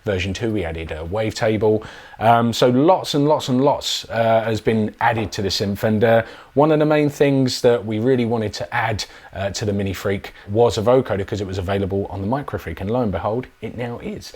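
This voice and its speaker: Male product demonstrator, lowish voice, casual and conversational delivery. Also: brisk, darkish tone.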